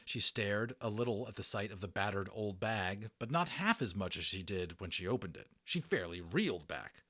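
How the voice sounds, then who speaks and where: A man narrating a children's audiobook as an amateur voice actor, expressive and dramatic, in a storytelling way. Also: fairly low pitch, moderate pace.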